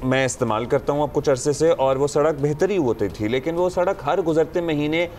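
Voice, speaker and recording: A man's voice: fairly high pitch, fairly quick, darkish tone, a little hiss or hum. A man co-hosting a morning talk show, chatting earnestly and shifting into formal Urdu for news items. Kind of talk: casual morning show chat.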